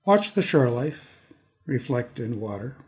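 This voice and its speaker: A Canadian man speaking as a guest poet on an arts podcast in a deliberate, halting manner. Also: mid-range voice, very animated, a little mellow. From Toronto area, Canada. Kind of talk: casual self-introduction and slow poetry reading. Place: studio.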